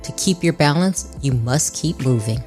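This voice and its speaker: Low-voiced woman podcast host. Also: lively intonation, normal speed, faint music behind. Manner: warm and effusive, laughs easily. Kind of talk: scripted intro then interview.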